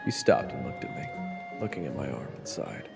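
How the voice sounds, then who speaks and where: A man's voice: a bass voice, lively intonation, moderate pace, gravelly, loud music behind the voice, heavily acted. An actor playing a glum, wry young swordsman who narrates his own tale in an audio roleplay. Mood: glum, reflective. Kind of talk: first-person scripted narration and audio roleplay acting.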